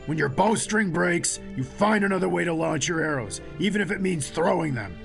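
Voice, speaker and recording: A man voicing a stern, commanding military officer with a gravelly rasp in a space audio drama. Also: high-pitched, animated, moderate pace, a bit bright, faint music behind, full character voice. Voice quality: raspy, gravelly. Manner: commanding, clipped, formal.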